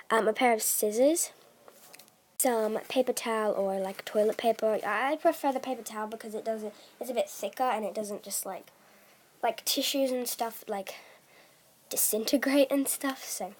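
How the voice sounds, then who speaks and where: A teenage girl talks through a casual vlog in a fairly high voice. She speaks softly, leans on fillers, and often fades into a whisper. She has a bad cough. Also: moderate pace, a little hiss or hum. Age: teens. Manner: soft-spoken, many fillers, trails off into whispers. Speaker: doll collector vlogger. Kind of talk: casual chat.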